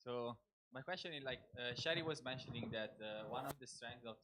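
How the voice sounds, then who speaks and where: Male audience member, mid-range voice, halting question with fillers. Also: medium pitch, noisy background.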